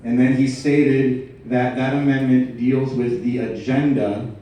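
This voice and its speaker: A man's voice: medium pitch, little pitch movement, unhurried, mellow, slight background noise. A man speaking as a delegate in a formal procedural debate, putting precise, methodical and insistent questions to the chair. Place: large indoor meeting room. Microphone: floor microphone. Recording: roomy echoing hall, distant mic.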